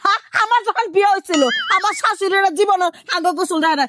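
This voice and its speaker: A woman's voice: extremely high pitch, quick pace, heavy background noise. A woman playing a scolding, old-fashioned Bangladeshi village mother-in-law in a domestic drama, haranguing with repeated questions in a rural Bangladeshi accent. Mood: outraged. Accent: rural Bangladeshi Bengali. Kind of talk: improvised-sounding domestic drama acting.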